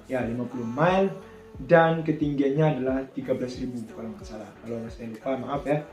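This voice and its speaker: A man teaches in a soft-edged, mellow voice at a middling pitch. He explains informally, thinking aloud and asking himself questions along the way. The room is clearly echoey, with some music behind him. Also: brisk. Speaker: air traffic controller presenting a tutorial.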